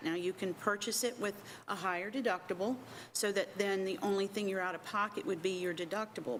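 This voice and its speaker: A woman speaking as an insurance agent on a business panel, with a loud voice, explaining plainly into a handheld microphone in a meeting room. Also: mid-range voice, a little hiss or hum. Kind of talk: public panel talk.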